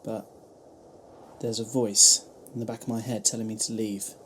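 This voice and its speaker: A man's voice: fairly low pitch, little pitch movement, moderate pace, a bit bright, slight background noise, close to an everyday voice. Man playing a breezy time-travelling adventurer. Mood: curious, breezy. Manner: casual, curious. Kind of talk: sci-fi audio drama acting.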